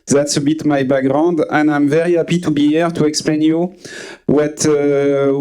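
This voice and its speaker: A man in his forties from France with a French accent, giving a conference talk to a live audience, pausing often with fillers and restarts. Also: a little high, a little mellow, low-quality recording. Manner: hesitant, many uh fillers and restarts. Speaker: conference speaker.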